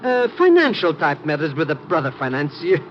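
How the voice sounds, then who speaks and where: An actor playing a fawning, shady, wordy hustler with an oily manner in a 1950s radio drama. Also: male voice, a little high, big pitch swings, moderate pace. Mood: ingratiating. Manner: oily, wordy, redundant malapropisms. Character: fawning, shady, garrulous little hustler posing as financier. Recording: noisy muffled 1950s radio recording.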